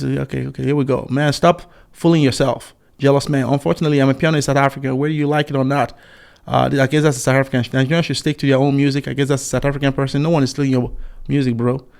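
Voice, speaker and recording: A man's voice: mid-range voice, steady tone, quick pace, vocal fry, darkish tone. A man in his forties talking casually as a YouTube commentator, reading out viewer comments with scorn and many fillers and restarts. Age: 40s.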